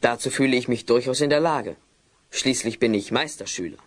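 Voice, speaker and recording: A man's voice: mid-range voice, fairly quick, lightly acted. A man playing an earnest, long-winded apprentice wizard who speaks precisely in a German children's audio play. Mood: confident. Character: earnest, bookish male apprentice wizard, slightly unsure.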